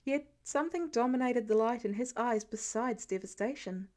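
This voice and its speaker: A woman reading a story aloud as an expressive audiobook-style narrator of fan fiction. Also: a little high, moderate pace.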